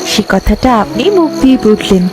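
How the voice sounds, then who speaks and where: Woman voicing a young fairy, high pitch, gentle, dignified, earnest, formal, cartoon fairytale, music behind. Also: big pitch swings, moderate pace. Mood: tender.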